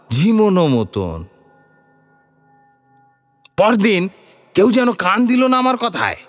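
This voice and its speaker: Man playing a brooding rustic bandit, swooping, unhurried delivery. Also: a little high, vocal fry, slightly rough, heavily acted. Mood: brooding, troubled. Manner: theatrical, rhetorical questions, addresses a listener as babu. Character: rustic male bandit storyteller, earnest, brooding, indignant. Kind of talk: dramatic Bengali short-story monologue.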